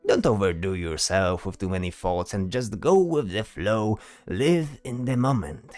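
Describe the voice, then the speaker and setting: A man's voice: on the low side, expressive, measured pace, darkish tone, no echo, soft background music. A man voicing a bold, teasing bard in a fantasy audio drama, talking theatrically and tagging questions onto his sentences. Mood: playful, lecturing. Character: flamboyant male bard, teasing, bold, self-assured.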